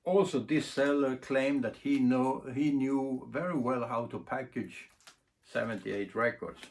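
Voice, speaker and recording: A man giving a casual, unscripted demonstration, thinking aloud in short, halting phrases. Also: medium pitch, steady tone. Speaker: record collector presenting a demonstration. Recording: clear background noise, clicks.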